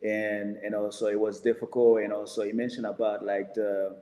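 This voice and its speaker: A low-voiced man talks on a video call, his delivery even and fairly monotone. He stops and restarts often as he works through his points. The sound is roomy, with a long reverb trailing his words. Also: a little hiss or hum. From Haiti. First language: Haitian Creole. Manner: halting, many restarts and fillers. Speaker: panelist. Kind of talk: live panel discussion.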